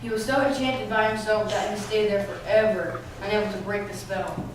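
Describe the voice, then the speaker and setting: Female narrator, scripted storytelling, mid-pitched; distant mic with clear background noise. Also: fairly even intonation, a little fast. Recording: echoey room, distant mic, noisy.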